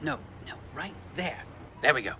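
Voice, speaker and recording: Flamboyant magician huckster, swooping highish voice, theatrical patter, audio drama. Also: male voice, expressive, normal speed, sharp tone, a little hiss or hum. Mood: showy. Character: flamboyant swindling street magician, showy and bumbling.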